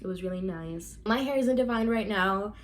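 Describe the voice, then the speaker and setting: Young woman, casual storytelling vlogger, mid-pitched, chatty and loose, faint room tone. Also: moderate pace, noisy background. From United States. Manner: chatty, addresses viewers as y'all, many fillers.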